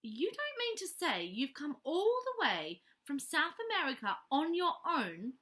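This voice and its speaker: A woman acts out a kindly, motherly storybook wife who fusses and exclaims. Her voice is high and bright, swooping widely as she takes charge. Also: sing-song, a little slow, heavily acted. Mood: amazed. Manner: exclamatory, fussing, decisive. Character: kindly, excitable married woman, motherly and decisive.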